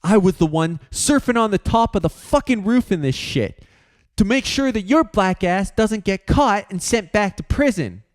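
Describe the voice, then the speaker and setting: A man doing a sardonic, yelling tough guy. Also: sits high, expressive, moderate pace, pitched above the performer's own voice. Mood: heated.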